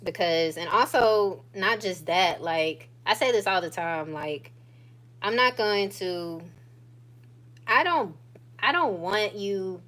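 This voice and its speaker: A woman in her thirties chatting casually to her viewers, relaxed and talkative, often laughing and stretching out her phrases. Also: mid-range voice, slow pace. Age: 30s. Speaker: vlogger. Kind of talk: casual catch-up chat to viewers.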